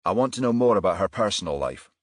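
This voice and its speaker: A man voicing a brisk, irritable detective in charge, clipped and commanding, in a crime audiobook. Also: low-pitched, animated, no room sound, studio quality. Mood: purposeful.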